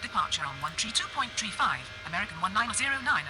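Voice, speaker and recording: A woman's voice: mid-range voice, big pitch swings, fast talker, over loud music, rough recording. A woman voicing a businesslike airline pilot, giving clipped readbacks over aircraft radio in a simulator game. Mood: brisk, neutral.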